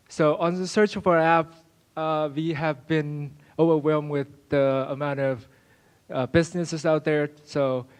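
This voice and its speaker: Young man presenting, halting and high-voiced. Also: clear, resonant tone. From Venezuela. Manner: halting, many uh fillers and restarts. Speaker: student presenter. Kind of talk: project presentation.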